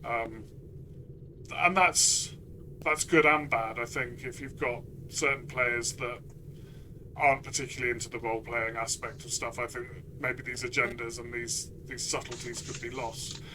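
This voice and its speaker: A man discussing a game conversationally as a player and reviewer, reflective and pausing mid-phrase. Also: medium pitch, fairly even intonation, noisy background.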